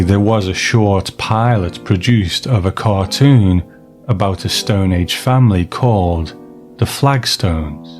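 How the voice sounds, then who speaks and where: A man presenting scripted commentary as a podcast host, measured and explanatory in manner. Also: fairly low pitch, darkish tone. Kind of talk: scripted commentary on classic television.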